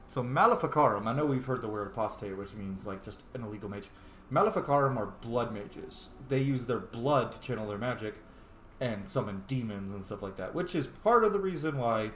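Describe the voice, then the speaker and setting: A man hosts a casual game playthrough with a lively, swinging intonation. He talks informally and reactively, explaining lore, in an echoey room with faint background noise. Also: mid-range voice, a little fast. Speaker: gaming host, role-playing let's player.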